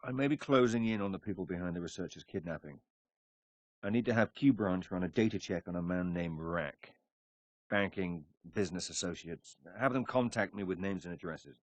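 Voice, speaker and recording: An actor playing a cool, tough secret agent in a video game, talking in a dry, clipped, self-assured way. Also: male voice, low voice, a little fast, dry recording, lo-fi sound. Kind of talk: dramatic video game cutscene acting.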